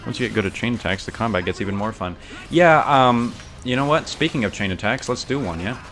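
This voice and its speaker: A man's voice: medium pitch, expressive, fairly quick, a bit bright, soft background music. A young man giving casual gameplay commentary, rambling thoughtfully and often correcting himself mid-sentence. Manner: rambling, self-correcting, bookish. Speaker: streamer.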